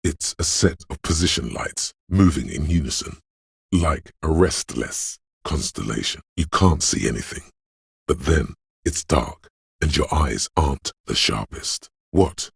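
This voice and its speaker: An actor voicing a calm, observant male inner voice of the senses in a game, narrating in a measured, deliberate way. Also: very deep voice, creaky, husky, no echo, clean studio sound, heavily acted. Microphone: studio mic.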